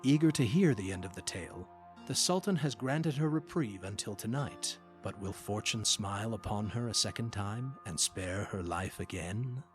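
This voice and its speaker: A man's voice: fairly low pitch, animated, moderate pace. A man narrating a radio drama in a scripted, dramatic storytelling style. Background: music under the voice.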